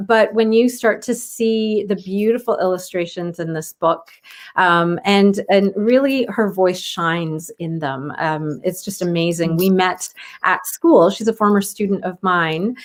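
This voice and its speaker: Enthusiastic woman presenting. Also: medium pitch. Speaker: children's book author and educator hosting a read-aloud. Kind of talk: casual introduction to a virtual read-aloud.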